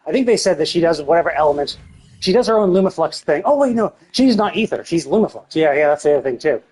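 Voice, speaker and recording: A man's voice: a little high, animated, fast talker, a little mellow, poor audio. A man streaming video games and chatting casually, thinking aloud in a rambling way. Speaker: video game livestreamer.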